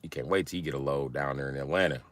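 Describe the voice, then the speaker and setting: A man hosting a casual livestream, teasing and swearing as he banters with viewers in an animated, exaggerated way. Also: racing. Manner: teasing, profane mockery; animated, breaks into high-pitched exaggerated mimicry. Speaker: livestream host chatting with viewers.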